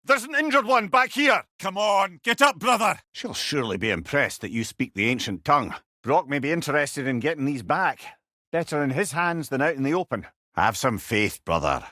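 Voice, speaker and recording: A witty, fond old Scottish companion from a video game. His voice sits a little high and swings widely in pitch as he talks with wry, wordy eloquence. The sound is dry, free of any room. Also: sing-song, normal speed, bright, forceful tone, no room sound, heavily acted. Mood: urgent shouting. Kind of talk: video game character dialogue.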